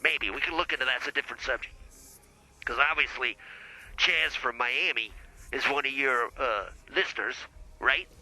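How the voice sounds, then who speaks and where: A man's voice: medium pitch, moderate pace, pushed and bright, rough recording. A man playing a blustering small-time lawyer in improvised comedy podcast banter, folksy and rambling, stumbling over his words. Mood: blustery advising. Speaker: lawyer character, show guest.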